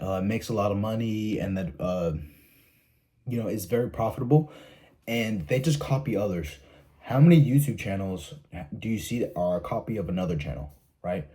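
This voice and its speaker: A man's voice: lowish voice, warm tone, husky. A young man giving blunt, profane self-help advice to his viewers in a casual monologue, stopping often to ask "right?".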